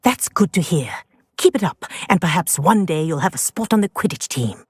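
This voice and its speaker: A woman playing an encouraging flying professor in a fantasy video game, speaking in a measured, formal, instructive way. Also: fairly low pitch, animated, a little mellow, husky, no echo, studio quality. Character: encouraging female flying professor at a wizard school. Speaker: game voice actor. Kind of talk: video game character dialogue.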